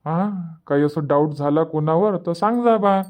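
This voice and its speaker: A man's voice: a little high, normal speed, a little mellow, natural voice. A man playing a reassuring, bossy village elder brother in a cartoon comedy, speaking Marathi with a Varhadi accent and repeating his advice. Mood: reassuring, firm. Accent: Varhadi Marathi of Vidarbha. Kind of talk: dialect cartoon comedy dialogue.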